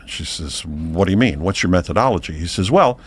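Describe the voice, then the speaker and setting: Low-voiced man spinning a rambling joke. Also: expressive, breathy edge. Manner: rambling storyteller, halting with many uhs, then building to punchlines. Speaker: podcast co-host. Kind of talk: telling a long joke in casual chat. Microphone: podcast mic, audio only.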